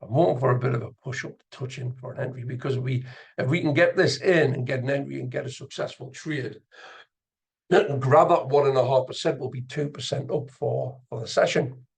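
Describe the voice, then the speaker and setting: A man giving casual live commentary as an instructor, gravelly and husky, sometimes muttering to himself as he explains. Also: mid-range voice, normal speed. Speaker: trading instructor and video host. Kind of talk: casual live screen-share commentary on chart trading.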